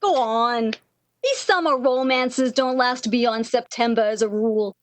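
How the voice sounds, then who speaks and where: A woman's voice: on the high side, measured pace, a little hiss or hum, lightly acted. A woman playing a brassy, motherly and worldly-wise night switchboard operator in 1930s radio style, slangy and teasing with endearments. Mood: skeptical, caring.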